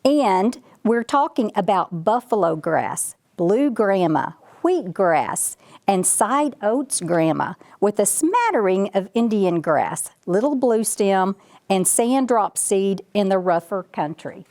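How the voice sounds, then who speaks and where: A woman's voice: medium pitch, sing-song, slow pace, darkish tone. A woman voicing a boastful, folksy male ranch dog in a children's storybook, bragging and chatting straight to the listener. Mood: folksy explaining. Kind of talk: children's storybook read-aloud.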